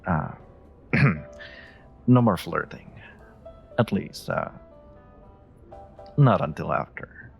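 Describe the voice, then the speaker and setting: A man playing a suave, teasing stranger who flirts in an intimate audio roleplay, pausing and hesitating playfully as he talks. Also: low-pitched, lively intonation, creaky, faint music behind, exaggerated voice. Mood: playfully apologetic. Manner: teasing, hesitant pauses, frequent soft chuckles.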